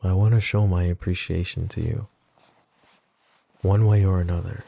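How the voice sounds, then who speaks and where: A man voicing a wry, tender mafia boss in an ASMR roleplay, soft-spoken and teasing. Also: low-pitched, level tone, normal speed, creaky. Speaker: voice actor.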